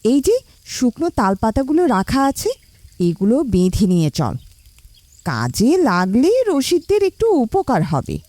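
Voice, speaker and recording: A woman playing a kindly, practical village mother who gently encourages her child in an animated moral story. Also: mid-range voice, moderate pace, no room sound. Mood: caring, encouraging.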